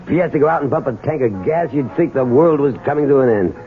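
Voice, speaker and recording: An actor playing a bitter, weary gas station owner with a gruff, curt, colloquial manner in a 1970s radio drama. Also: male voice, mid-range voice, animated, fast talker, soft background music, natural voice. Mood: resentful. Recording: tinny, noisy old radio broadcast recording.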